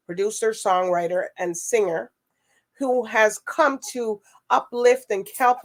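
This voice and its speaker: A woman hosting a talk show in a casual, chatty and wordy way. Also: mid-range voice. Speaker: show host.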